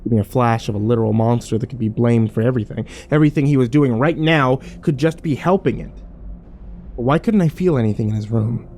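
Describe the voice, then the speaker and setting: A man's voice: medium pitch, fast, a little mellow, slight background noise, close to an everyday voice. A man playing a troubled, wary survivor who narrates his ordeal in a brooding first-person horror drama.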